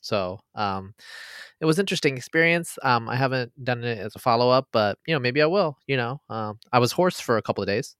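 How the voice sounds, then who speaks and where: A man's voice: medium pitch, expressive, a little fast, a bit bright, clean tone, no echo. A man from Canada, a guest on a casual podcast, telling a story with dry humor and plenty of fillers. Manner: dry joking, hesitant with fillers.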